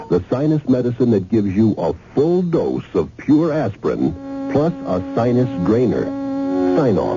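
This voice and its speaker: Male 1970s commercial announcer: deep, varied, emphatic, music bed, dull vintage audio. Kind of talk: 1970s radio advertisement read. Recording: muffled vintage radio recording.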